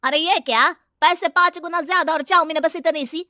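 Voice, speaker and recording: A woman plays a nosy villager from a moral tale, questioning and protesting. The voice is very high and piercingly bright. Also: moderate pace, no room sound, studio quality. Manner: questioning, complaining. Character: nosy, protesting village customer of a food cart. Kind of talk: animated moral-story character dialogue.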